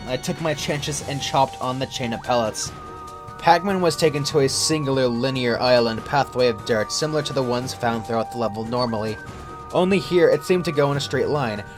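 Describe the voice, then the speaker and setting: Young adult male creepypasta narrator, mid-pitched, scripted first-person read, light music bed. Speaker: horror story narrator.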